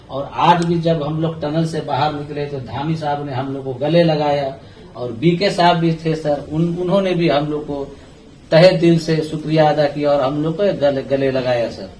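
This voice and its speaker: A man's voice: a little high, fairly even intonation, moderate pace, a little mellow. A man giving a respectful account as a worker on a phone call, deferential and often adding "sir". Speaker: rescued tunnel worker, group spokesman. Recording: echoey room on speakerphone, clear noise.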